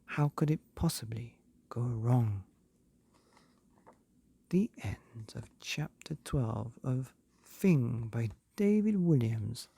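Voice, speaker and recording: Male storybook narrator: husky, mellow, measured delivery with deliberate pauses, for bedtime listening. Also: mid-range voice, lively intonation. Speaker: narrator of a bedtime stories podcast.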